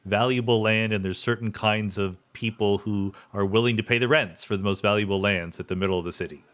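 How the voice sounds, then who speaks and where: A man's voice: fairly low pitch, steady tone, a little fast, fairly bright tone. A man in his fifties from the US East Coast with an American accent, hosting a conversational interview and thinking aloud as he frames questions. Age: 50s. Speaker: podcast host.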